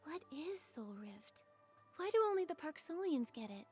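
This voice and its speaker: A young video game heroine with a high voice. She sounds earnest and troubled as she questions what is happening. Music plays softly behind her. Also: very animated, moderate pace. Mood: worried, curious.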